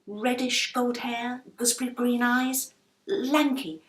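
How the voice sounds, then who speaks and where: A woman's voice: fairly high pitch, fairly even intonation, takes it slowly, echoey room, distant mic, voice pushed up. A woman voicing an anxious, determined young girl with earnest questions in a children's storybook. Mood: urgent.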